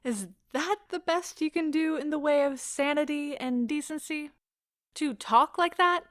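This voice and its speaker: A woman playing an anguished, proud wife in an audio drama, speaking with deliberate emotional intensity. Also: sits high, fairly bright tone, dry recording, clean studio sound. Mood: scornful. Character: anguished, proud wife pleading as her marriage ends.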